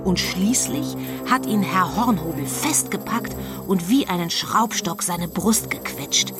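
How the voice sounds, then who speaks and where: A woman narrating a children's radio play in a measured, deliberate storytelling style. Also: lowish voice, expressive, over music.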